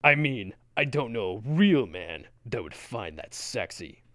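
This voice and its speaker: A man's voice: mid-range voice, very animated, unhurried, pushed and bright, no room sound, clean studio sound. A man playing the exasperated straight man in a comedy skit.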